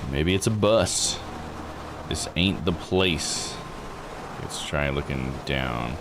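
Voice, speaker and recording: A man's voice: very deep voice, lively intonation, slow pace, background noise. A man doing casual let's play commentary as a game streamer, thinking aloud in folksy, slangy phrases. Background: game music.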